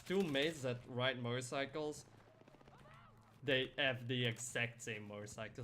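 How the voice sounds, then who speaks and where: A man's voice: medium pitch, a bit bright, a little hiss or hum. Chatty young man streaming a game. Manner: chatty, rambling, answers chat by name, laughs often. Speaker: live streamer. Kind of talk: casual live stream chat while gaming.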